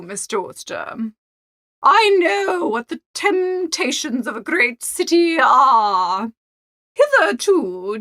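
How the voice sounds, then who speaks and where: A woman's voice: sits high, a bit bright, no echo, clean studio sound, full character voice. A woman playing an imperious, self-satisfied older English society mother in a dramatised audiobook, grand and presumptuous in manner. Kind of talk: dramatised audiobook character dialogue.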